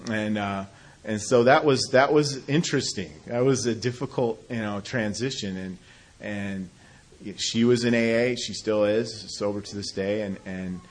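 Halting, low-voiced man telling his story. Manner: halting, many restarts and self-deprecating laughs. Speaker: speaker sharing a recovery story. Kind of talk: personal testimony talk.

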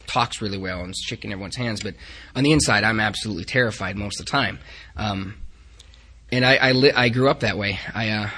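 A man with a bright, forceful voice speaks to a recovery group meeting about his own life. He is from the Upper Midwest, and he talks in a candid way, joking at his own expense. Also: on the low side, breathy edge. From Upper Midwest of the United States. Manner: self-deprecating, joking, candid. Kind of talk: personal recovery talk to a group.

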